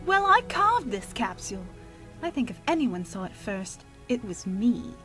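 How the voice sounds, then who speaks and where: A spirited young alien time lady , acted by a woman with a high, very animated voice. She is an idealistic artist who speaks in a pointed, challenging way. It is audio drama dialogue with music behind it. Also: a little slow, full character voice. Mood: wry.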